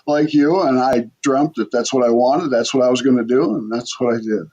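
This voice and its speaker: A man hosting an interview, chatting in a relaxed and encouraging way. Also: medium pitch, a little fast, breathy edge, dull audio. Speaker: interviewer host. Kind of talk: casual interview chat.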